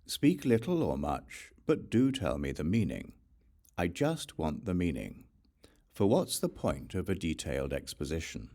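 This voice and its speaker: A man's voice: low-pitched, big pitch swings, a little slow, dark tone, exaggerated voice. A man voicing an earnest, eager wandering seeker of truth in a scripture audiobook, polite and intent in his questions. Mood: eager, respectful.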